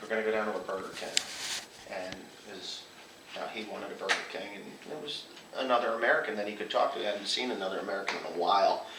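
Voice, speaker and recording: Roomy, low-voiced man telling a story. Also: fast talker. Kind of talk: casual anecdotal storytelling. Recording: roomy, distant mic, faint noise.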